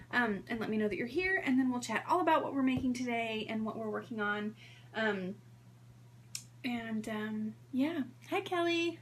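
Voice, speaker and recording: Hoarse, chatty woman host. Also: high voice, slight background noise. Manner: chatty, rambling, greets viewers by name. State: hoarse from strep throat. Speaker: sewing livestream host. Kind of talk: casual livestream chat.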